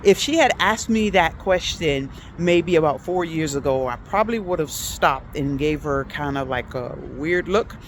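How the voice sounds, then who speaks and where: A chatty woman teaching language in a relaxed vlog, whose first language is English. She talks in an easy, halting way, filling pauses with ums and uhs and moving between languages. She is recorded outdoors in a city, with faint traffic in the background. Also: sits low. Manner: chatty, hesitant with many fillers, switches between languages. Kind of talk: casual vlog lesson.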